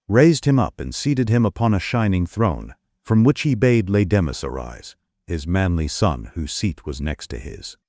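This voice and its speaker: A man's voice: low voice, darkish tone, dry recording, studio quality. A man giving formal, dramatic narration of an epic audiobook. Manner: formal storytelling.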